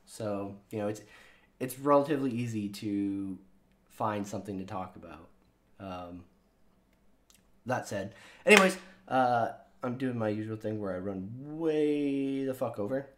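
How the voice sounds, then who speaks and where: A man talks straight to his viewers in a loose, meandering way, dropping curse words and ums as he goes. His voice sits a touch low yet still sounds younger than his years, like casual chat into a desk mic. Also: unhurried. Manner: rambling and profane, with many fillers. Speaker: channel host.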